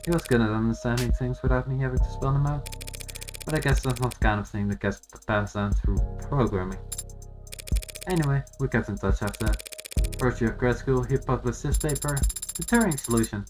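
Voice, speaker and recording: A man's voice: mid-range voice, fairly quick, natural voice, poor audio. Man reading as a wry, cynical lawyer, music behind. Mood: wry reminiscing. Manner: dry, sardonic, rambling. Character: wry, cynical, guarded lawyer telling old stories.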